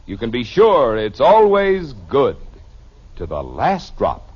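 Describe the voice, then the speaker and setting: A man's voice: mid-range voice, huge pitch swings, takes it slowly. An American man announcing a 1950s radio commercial with polished, emphatic salesmanship. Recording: dull old radio recording.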